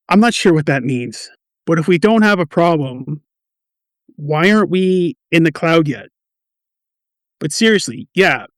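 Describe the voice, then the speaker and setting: A man plays a stressed quality assurance manager in an office radio drama. His voice sits on the high side, with a defensive, wry and touchy manner. The recording is dry. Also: dry recording. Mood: touchy, worn down. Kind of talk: amateur radio play dialogue.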